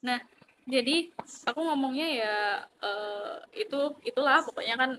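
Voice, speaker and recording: A woman's voice: high voice, measured pace, background noise. A young woman from East Java with an East Javanese Indonesian accent, chatting animatedly about student life. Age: young adult. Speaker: vlogger, university student. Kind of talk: casual chat.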